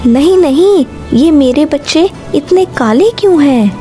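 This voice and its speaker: A tender cartoon mother bird, played by a woman speaks in a fairly high, mellow voice. She is gentle, anxious and emotional about her young, with faint music behind. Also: animated, measured pace. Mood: distressed, shocked. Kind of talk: Hindi cartoon story dialogue.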